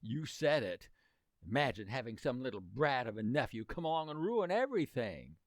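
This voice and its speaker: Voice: mid-range voice, very animated, moderate pace, dry recording, natural voice. An actor as hapless teenage boy, lovesick, dim but earnest, swooping intonation, radio comedy, dry close sound. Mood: glum, puzzled. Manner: exclamatory, dim-witted, earnest boyish slang. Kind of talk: old-time radio comedy acting.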